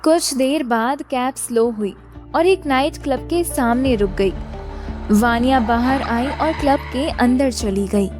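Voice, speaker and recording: Casting: female story narrator, fairly high voice, scripted drama delivery, some music beneath. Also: slow pace.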